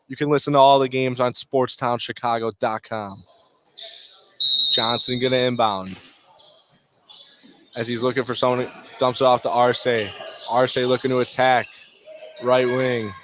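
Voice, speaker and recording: Male basketball play-by-play caller over gym noise. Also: medium pitch. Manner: clipped running phrases. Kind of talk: live sports radio commentary. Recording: noisy gym ambience behind. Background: basketball bounces, gym noise.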